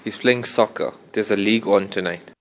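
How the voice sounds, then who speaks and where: A man's voice: lowish voice, normal speed, natural voice. A man playing a blunt, confrontational father who defends his son. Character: blunt, defiant middle-aged father of the boy. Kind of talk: audio drama acting.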